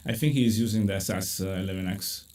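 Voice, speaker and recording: Italian-accented male host, live-stream chat, animated and chatty, filler-heavy. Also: fast. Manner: animated, many fillers, pitch jumps when excited. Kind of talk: casual video-call chat. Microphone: video call.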